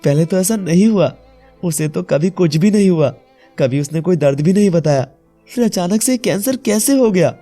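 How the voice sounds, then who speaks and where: A man's voice: high-pitched, lively intonation, normal speed, mellow, soft background music. A man voicing a devoted, emotional young husband and father, earnest and pleading, in a dramatised story. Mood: desperate pleading. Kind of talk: dramatised Hindi moral story acting.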